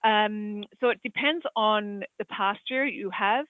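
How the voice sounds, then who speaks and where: A man's voice: high voice, slow, no echo. A man with an Australian accent, an equine vet answering audience questions in a rambling, hesitant way full of fillers. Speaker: equine veterinarian. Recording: dry, tinny phone-like line.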